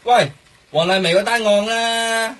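Cynical police colleague, radio drama: Hong Kong accent, high pitch, gossipy. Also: male voice, measured pace, fairly bright tone.

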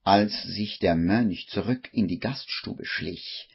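A man narrating a radio play in a measured storytelling manner, on an old 1950s recording. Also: low-pitched, vocal fry, breathy edge, highs cut off, heavily acted.

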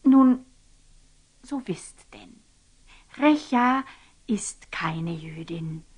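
A woman's voice: mid-range voice, lively intonation, drawn-out words, slightly rough, heavily acted. An actress playing a devout, troubled woman confiding secrets in a classical radio drama, solemn, hesitant and emotional. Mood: anguished, confiding.

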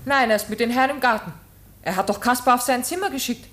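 An actress playing a helpful, startled young daughter of the house in a radio drama. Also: female voice, a little high, fast talker, fairly bright tone, lightly acted. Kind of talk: German radio drama acting. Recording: echoey and distant, faint hiss.

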